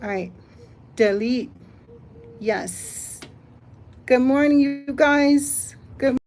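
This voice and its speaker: An older woman from the United States hosting a casual live stream, chatting, reading comments aloud and thinking out loud. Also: high voice, drawn-out words, a little hiss or hum. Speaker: livestream host.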